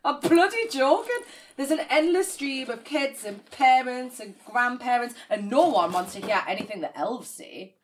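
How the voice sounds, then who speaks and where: A woman's voice: a little high, expressive, moderate pace, few pauses, slight background noise, natural voice. An actress playing a cynical, grieving woman, in a naturalistic audio drama. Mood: exasperated, sarcastic. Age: 30s. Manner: sardonic, exasperated. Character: cynical, grieving 37-year-old woman working as an elf.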